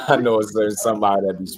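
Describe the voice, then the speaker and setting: A man with a lowish voice hosts a casual boxing talk, loose and slangy. He gets animated and laughs easily as he riffs. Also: fast talker, crowd noise. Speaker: boxing podcast host.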